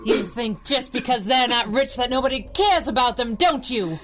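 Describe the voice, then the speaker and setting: An actress playing a feisty young working-class crime-fighting sidekick in a 1930s radio adventure, blunt and sassy. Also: female voice, a little high, lively intonation, normal speed, fairly bright tone. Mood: furious.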